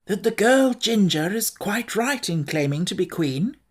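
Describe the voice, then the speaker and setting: A woman playing a calm, thoughtful straw scarecrow. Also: mid-range voice, measured pace, slightly rough, pitched above the performer's own voice. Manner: quiet, thoughtful. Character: calm, wise male scarecrow made of straw.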